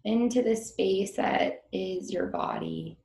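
A woman guiding a breathing meditation in a gentle, informal and unhurried instructional manner. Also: mid-range voice, creaky, echoey room, poor audio. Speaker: meditation guide.

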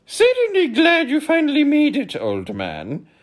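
A man voicing a pompous, overeager humbug in a loud, high, hearty voice. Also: wildly swooping pitch, measured pace, full character voice.

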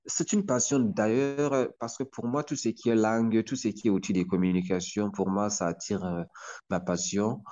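A man's voice: lowish voice, dark tone, lo-fi sound. A man whose first language is Hausa, answering interview questions with many hesitations. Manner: hesitant, many fillers and restarts. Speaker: linguist guest.

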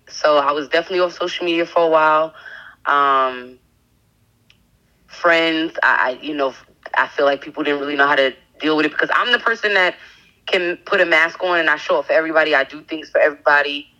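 A woman in her thirties, a podcast guest sharing a candid personal testimony in a halting way, with many fillers and restarts. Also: low voice, pushed and bright, dull audio. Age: 30s.

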